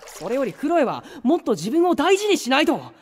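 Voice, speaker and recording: A woman's voice: high-pitched, wildly swooping pitch, brisk. A woman playing a good-natured, easily flustered teenage boy in an anime audio drama, with casual boyish speech. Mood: exasperated, earnest.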